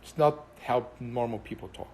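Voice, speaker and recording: A Brazilian man speaks English with a Brazilian Portuguese accent, Portuguese being his first language. He chats loosely through a live stream, answering viewers as thoughts come to him. He rambles and pauses often, filling gaps with plenty of hesitations. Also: mid-range voice, fairly even intonation, mellow, slight background noise. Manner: rambling, hesitant with many fillers. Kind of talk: casual live-stream chat.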